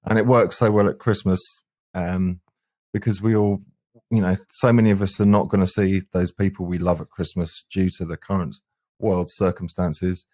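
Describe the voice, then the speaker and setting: A man's voice: low-pitched, fairly even intonation, normal speed, a little mellow. A man chatting earnestly and enthusiastically as an interview guest from a band. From England, UK. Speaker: band singer and guitarist, guest. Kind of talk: casual interview chat.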